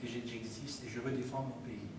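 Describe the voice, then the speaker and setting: A man from Iran whose first language is Persian, speaking at a public film debate, halting and full of restarts and fillers. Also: medium pitch, fairly even intonation. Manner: hesitant, many restarts and fillers. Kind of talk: halting public talk, answering questions. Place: community meeting hall. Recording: very echoey hall, far from mic, faint noise.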